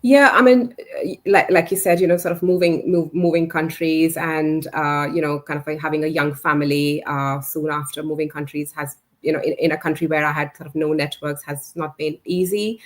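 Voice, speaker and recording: A woman's voice: low-pitched, fairly even intonation, moderate pace, hardly any pauses. A woman in her forties, not a native English speaker, telling her career story as an interview guest, with many fillers and restarts. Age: 40s. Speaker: interview guest, communications leader. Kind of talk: reflective career story in a live chat.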